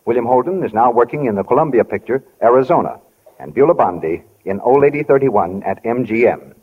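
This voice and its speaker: Husky American announcer, muffled vintage radio. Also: male voice, medium pitch, lively intonation, a little fast. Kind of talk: scripted 1940s announcing.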